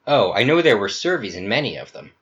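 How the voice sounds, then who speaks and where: A man's voice: on the low side, sing-song, quick pace, a bit bright, muffled recording. A man voicing a smug, scheming Survey leader who talks in a cool, self-assured, chummy way.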